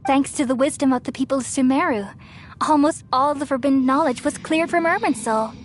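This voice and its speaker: A woman voicing an ancient, motherly goddess of wisdom who speaks calmly and soothingly in a game cutscene. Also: sits high, a little hiss or hum, natural voice. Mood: serene. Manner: calm, measured and soothing. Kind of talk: video game cutscene dialogue. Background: game score music.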